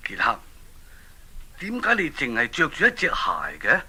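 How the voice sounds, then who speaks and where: A man's voice: fairly high pitch, very animated, moderate pace, bright, forceful tone, slightly rough, full character voice. A man playing an impatient, self-important king in a Cantonese children's radio drama, with a Hong Kong accent and a theatrical, commanding manner. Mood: commanding, inquisitive.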